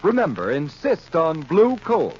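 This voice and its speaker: A man's voice: on the high side, huge pitch swings, a little slow, husky. A male American radio announcer reading scripted copy in a formal, emphatic 1930s style. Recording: muffled, noisy 1930s radio recording.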